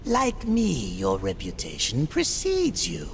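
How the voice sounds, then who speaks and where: An actor playing a sly, soul-eating demon merchant in a video game, with an oily, theatrical delivery. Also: male voice, a little high, huge pitch swings, takes it slowly, vocal fry, a little hiss or hum, heavily acted. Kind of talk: video game cutscene acting.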